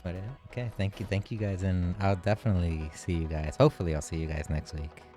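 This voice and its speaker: Low-voiced man hosting a casual racing stream. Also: little pitch movement, fast talker, dark tone, soft background music. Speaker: race broadcast host interviewing drivers. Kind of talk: casual livestream post-race interview and sign-off.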